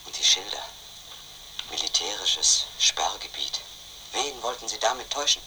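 An obsessed, brooding man tells his story in a radio drama. The voice is lowish and very forceful, intense and self-questioning, over faint noise. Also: lively intonation, normal speed, heavily acted, poor audio. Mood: brooding, agitated. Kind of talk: first-person radio play narration.

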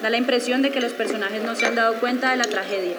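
Woman narrator over loud clinking noise. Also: fairly high pitch, steady tone, fast talker. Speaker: radio play narrator. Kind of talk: scripted narration, reading stage directions. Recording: very noisy live recording, clinking tableware.

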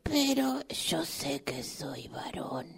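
A woman voicing a lonely old male tortoise in improvised radio theatre, with a Rioplatense Spanish accent and a halting, plaintive, breathy delivery. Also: deep voice, very animated, heavily acted. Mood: wistful, sly. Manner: halting, plaintive, rambling, breathy drops into near-whisper.

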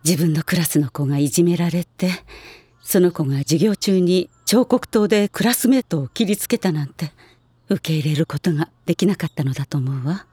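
A woman playing a composed middle-aged schoolteacher who talks in a measured, reflective way. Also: lowish voice, expressive, warm tone, breathy edge, dry recording. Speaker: elementary school teacher. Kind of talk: anime drama CD acting.

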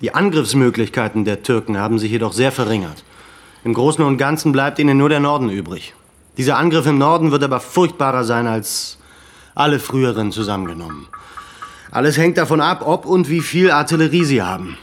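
An actor playing a sober, thoughtful commander of a besieged camp in a radio drama, measured and earnest. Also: male voice, medium pitch, lively intonation, lightly acted. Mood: sober assessment.

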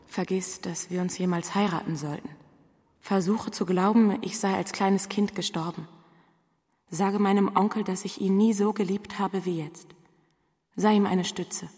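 An actress playing a remorseful, loving young runaway in a radio play, solemnly speaking a farewell with a reverb effect. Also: female voice, mid-range voice, fairly even intonation, measured pace, a little mellow. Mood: sorrowful, loving. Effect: reverb as a letter voice. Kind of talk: German radio play acting. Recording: long cavernous reverb.

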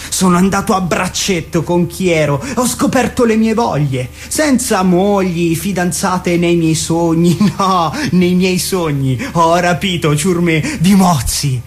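A man acting an excitable, high-voiced rhyming teenage boy. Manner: declaiming in rhymes and wordplay. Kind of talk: theatrical rhymed dialogue in an audio drama.

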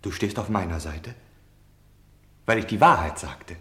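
An actor playing a defiant, self-justifying German man in a radio play, declaiming theatrically between hushed confiding and shouting. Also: low voice, expressive, measured pace, reverberant, heavily acted. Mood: pleading.

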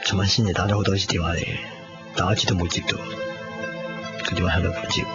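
A weary, lovelorn young Hong Kong man speaks tersely in Cantonese, sounding ill and downcast. His voice is fairly low, in a dull radio drama recording with music behind. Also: steady tone, quick pace. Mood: brooding. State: ill, coughing. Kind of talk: 1990s radio drama acting.

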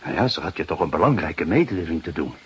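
An actor playing a stern police detective who questions a suspect in a gruff, husky voice, insistent and terse, in a crime drama. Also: male voice, a bass voice, animated, quick pace, dull audio, heavily acted. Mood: stern, probing. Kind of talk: Dutch crime drama acting, interrogation.

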